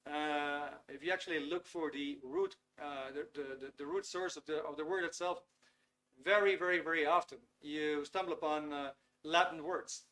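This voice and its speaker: A Dutch man with a slightly raised voice, talking loosely and filling pauses with "um" and "uh". He speaks from a small studio, straight to camera. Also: a little high, fairly even intonation, bright, forceful tone. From Netherlands. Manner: chatty, filler-heavy, reads chat messages aloud. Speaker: webinar host. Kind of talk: live webinar lecture. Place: small warm studio under lights.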